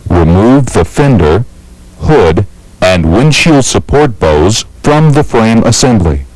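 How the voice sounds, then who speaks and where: A man's voice: lowish voice, big pitch swings, slow, darkish tone, no room sound, low-quality recording. A man with an American accent reading scripted instructional narration in a formal, methodical, step-by-step way. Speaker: training film narrator.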